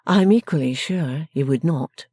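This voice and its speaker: A woman voicing a gentle, caring young woman from a storybook, soft, affectionate and reassuring. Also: sits low, a little slow, breathy edge, dry recording, clean studio sound. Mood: gently reassuring. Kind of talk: storybook character acting.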